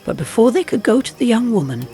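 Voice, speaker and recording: A man narrating a scripted folk tale in a dramatic, expressive way. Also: very high-pitched, fast, soft background music. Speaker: storyteller narrator.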